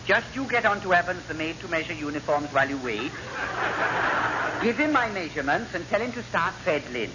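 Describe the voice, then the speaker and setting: Eager, high-voiced Welsh sailor. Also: male voice, big pitch swings, a little fast. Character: eager, artless Welsh leading seaman. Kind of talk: vintage radio comedy acting. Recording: dull, noisy old radio recording.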